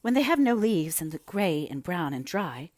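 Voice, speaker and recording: A woman's voice: lowish voice, very animated, moderate pace, a little mellow, no echo. A woman voicing an eager, lonely young girl in a storybook reading, full of questions and hesitant when shy. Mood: eager, excited.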